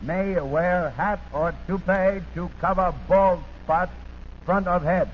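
A man reading a scripted 1940s radio police bulletin in deliberate, clipped items. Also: high voice, fairly even intonation. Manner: deliberate, clipped listing with repetition. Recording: tinny noisy 1940s radio recording.

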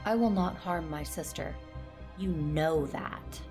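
Female Jedi lead for audio drama: earnest, determined, introspective, on the lower side with gravelly edges. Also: slow pace, darkish tone, soft background music. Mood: pleading, defiant. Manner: introspective, earnest first-person narrating. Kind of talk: audio drama acting with narration.

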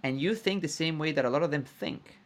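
A man hosting a podcast, chatting casually and warmly echoing his guest's words back. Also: a little high, fairly quick. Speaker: podcast host. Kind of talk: casual interview chat.

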